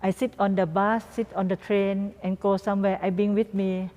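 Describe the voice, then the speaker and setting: Female guest artist, Thai accent, mellow voice, broken English in short repeated phrases, recorded with long room reverb. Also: mid-range voice, flat delivery. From Thailand. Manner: halting phrases, non-native grammar, repeats words. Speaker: guest artist and doll maker. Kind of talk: interview answers in simple English.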